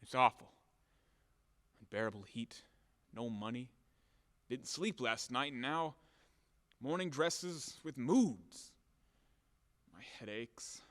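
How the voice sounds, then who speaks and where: An actor playing a furious, self-pitying landowner who rants and grumbles theatrically in an audio play comedy. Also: male voice, medium pitch, slow, pauses often, fairly bright tone. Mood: weary grumbling. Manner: theatrical, exasperated ranting and grumbling. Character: blustering, furious, self-pitying male landowner demanding his money. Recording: echoey hall-like reverb.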